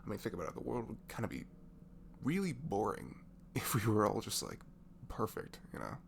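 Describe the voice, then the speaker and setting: A man speaking softly and breathily in an improvised, comforting ASMR voice message, rambling affectionately and informally as if to a close friend. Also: on the low side, wildly swooping pitch, moderate pace, creaky, a little hiss or hum. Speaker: amateur ASMR audio creator playing a supportive friend.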